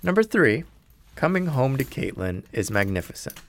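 A man hosting and narrating, asking earnest, probing questions in a measured, reflective way. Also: medium pitch, sing-song, slight background noise. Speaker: host and narrator. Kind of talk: reflective documentary narration and interviewing. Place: lives in a city neighborhood.

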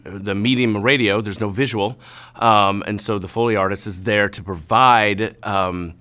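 Male interviewee, music arranger. Lowish, forward bright tone, halting reflective delivery. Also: steady tone. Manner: halting, thoughtful.

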